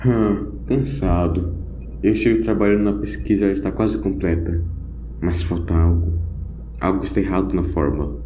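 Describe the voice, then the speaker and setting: A man's voice: deep voice, fairly even intonation, moderate pace, warm tone, slight background noise, natural voice. A man playing a kindly old grandfather doctor with an old-fashioned, hemming manner in an audio drama. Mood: weary, puzzled. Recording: roomy, dull home recording with music.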